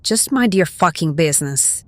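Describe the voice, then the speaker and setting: A woman's voice: on the low side, lively intonation, normal speed, no room sound, lightly acted. An actress playing a curt, prickly, distrustful woman in an audio drama, with a biting manner. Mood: cold, resentful.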